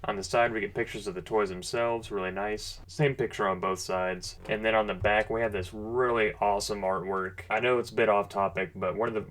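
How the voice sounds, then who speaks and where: Low-pitched man doing a casual toy review. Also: fairly bright tone. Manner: enthusiastic, informal, conversational. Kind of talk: casual unscripted product review.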